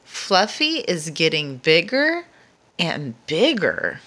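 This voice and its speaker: Animated woman reading a children's storybook. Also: low-pitched. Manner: deliberate, dramatic emphasis for young listeners. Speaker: storyteller reading a picture book aloud. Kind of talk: expressive children's read-aloud narration.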